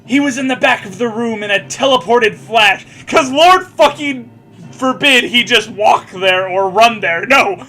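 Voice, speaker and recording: A man's voice: very high voice, animated, a little slow, bright, forceful tone. An American man reading fan fiction aloud mockingly and bantering casually, with a theatrical, sarcastic, profane manner.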